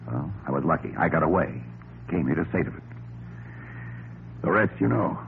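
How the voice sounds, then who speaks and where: An American actor playing an anxious middle-aged escaped convict in 1940s radio drama style, earnest, hesitant and melodramatic. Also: male voice, very deep voice, expressive, normal speed, creaky, a little hiss or hum, heavily acted. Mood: grave confession. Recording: tinny, band-limited vintage radio recording.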